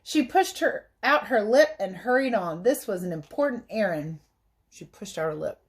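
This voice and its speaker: A woman reading a story aloud as a teacher, pausing to explain things simply to young listeners. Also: medium pitch, animated. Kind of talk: read-aloud storytelling with explanatory asides for children.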